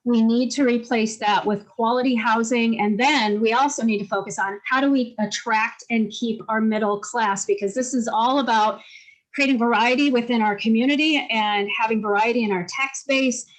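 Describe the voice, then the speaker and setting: A woman's voice: a little high, fairly even intonation, normal speed, low-quality recording. Earnest woman, mayoral candidate. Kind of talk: forum answer on a video call. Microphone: video call.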